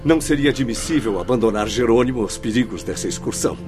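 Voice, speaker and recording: An actor playing a dutiful, earnest spirit aide in a radio drama, formal and deferential. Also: male voice, mid-range voice, animated, quick pace, music in the background, natural voice. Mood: earnest concern.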